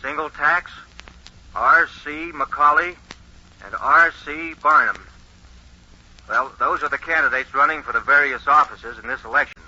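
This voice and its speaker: An actor playing an earnest 1920s radio announcer in a radio drama, reading out figures with deliberate emphasis. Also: male voice, on the high side, pushed and bright, exaggerated voice. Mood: brisk, businesslike. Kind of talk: 1940s radio drama acting, newsreader delivery. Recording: muffled vintage 1940s radio recording.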